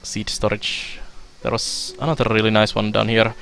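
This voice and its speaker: A young Finnish-accented man talks through a strategy game on a live stream, relaxed and chatty with plenty of fillers. His voice sits low yet sounds bright and forceful. Quiet music plays underneath. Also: moderate pace, gravelly. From Finland. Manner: relaxed and chatty, many fillers, laughs often. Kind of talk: let's play commentary while reading chat.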